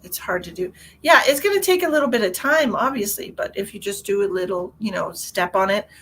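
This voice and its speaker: Chatty bright-voiced woman livestreaming. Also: mid-range voice, animated, a little fast, slight background noise. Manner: chatty, rambling, answers viewer comments aloud. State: stuffy nose. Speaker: tarot reader hosting a livestream. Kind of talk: casual livestream chat with viewers. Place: in a car.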